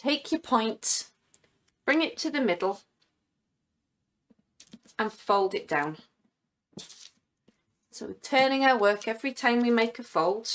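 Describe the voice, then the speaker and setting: A woman's voice: on the high side, animated, slow, long pauses, fairly bright tone. A woman presenting a step-by-step craft tutorial from her living room.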